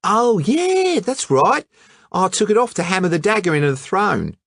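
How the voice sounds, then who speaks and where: A man doing a loud, brash Aussie lad. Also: high-pitched, big pitch swings, normal speed, creaky, no room sound, studio quality, voice pushed up, full character voice. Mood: eager. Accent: Australian. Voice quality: broad colloquial slang. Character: brash young male Australian art student.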